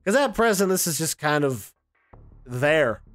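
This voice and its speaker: A man's voice: a little high, big pitch swings, measured pace, a bit bright, dry recording. A man giving casual, chatty and opinionated commentary as the host of a game preview. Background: music.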